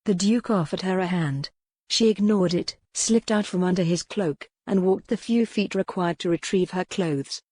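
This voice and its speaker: A woman's voice: lowish voice, moderate pace, a little mellow, husky, no echo. A woman reading scripted, dramatic historical romance narration as an audiobook narrator.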